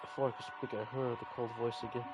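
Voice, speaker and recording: A man's voice: mid-range voice, level tone, fast. A man reading a visual novel game aloud in a dramatic way, with offhand reactions of his own. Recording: game music behind the voice. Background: game music.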